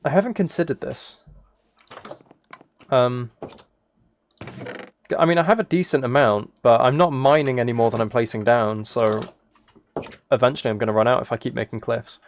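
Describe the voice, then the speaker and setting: A man chatting casually as a live game streamer, musing aloud in a relaxed, low-key way. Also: normal speed, pauses often, a little hiss or hum. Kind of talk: casual chat over Minecraft gameplay.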